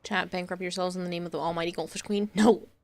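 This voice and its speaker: A woman's voice: on the low side, fairly even intonation, fast talker. A young woman with a Boston accent talks to her live-stream chat in a chatty, reassuring way. She replies to viewers and thanks them as she goes. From Massachusetts.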